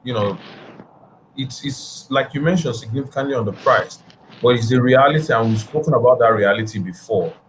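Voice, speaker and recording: A man in his thirties hosting a video call interview, speaking in a measured, hesitant way with restarts. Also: medium pitch, a little hiss or hum, rough recording. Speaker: host. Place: indoor room at home.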